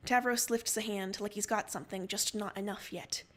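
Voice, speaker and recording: A woman reads fiction aloud as a storyteller, at a middle pitch. She narrates in the second person with dramatic intent, as on a story livestream. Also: steady tone, normal speed, a bit bright.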